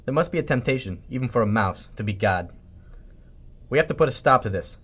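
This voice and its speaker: A man playing a stern executive giving a grave, measured briefing in a radio drama parody. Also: medium pitch, expressive, fairly bright tone, slightly rough. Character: stern executive briefing a captain on a secret mission.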